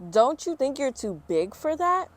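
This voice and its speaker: A woman playing a tactless, scolding female teacher in a storybook, loud, chiding and emphatic. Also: sits high, very animated, a little slow, pitched above the performer's own voice.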